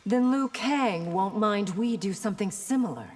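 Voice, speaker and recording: Husky, haughty warrior princess. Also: female voice, mid-range voice, animated, moderate pace. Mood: cool, haughty. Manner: cool, regal, challenging. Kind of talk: video game fight banter. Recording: reverberant game hall sound.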